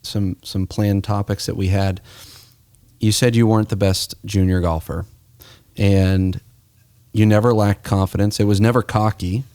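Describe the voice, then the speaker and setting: An American man hosts a conversational podcast interview. His voice sits fairly low, and he talks reflectively, like someone telling a story. The sound is dry and close, with no room echo. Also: steady tone, unhurried, mellow. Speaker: podcast host.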